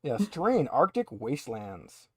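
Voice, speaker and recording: A man's voice: mid-range voice, huge pitch swings, unhurried, a bit bright. A man running a tabletop roleplaying game, narrating conversationally with wry asides. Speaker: game master.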